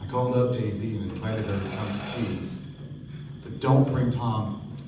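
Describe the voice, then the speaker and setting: An actor playing an earnest young man, narrating and talking in a measured, plain way in a stage radio play. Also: lowish voice, music in the background, lightly acted. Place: theatre stage before an audience. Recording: distant, echoey stage with room noise.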